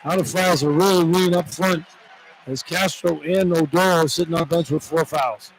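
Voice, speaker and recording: A man with a somewhat high voice gives conversational analysis during a basketball broadcast. He is informal and opinionated. The arena is clearly loud behind him. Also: fairly even intonation, normal speed, noisy background. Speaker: radio color analyst. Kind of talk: conversational basketball color commentary. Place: courtside in an indoor arena. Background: loud game ambience.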